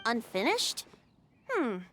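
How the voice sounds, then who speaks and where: An actress playing a playful, youthful bard god in a fantasy game, with a lilting, theatrical, teasing delivery. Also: female voice, a little high, huge pitch swings, slow pace, fairly bright tone, soft background music, heavily acted. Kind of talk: scripted game dialogue and recited verse.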